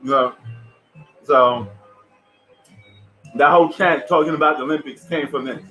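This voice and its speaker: A man's voice: on the high side, normal speed, fairly bright tone, soft background music. Laid-back New man, slangy chat. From New York. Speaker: live stream host. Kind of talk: live stream reaction commentary. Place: at home.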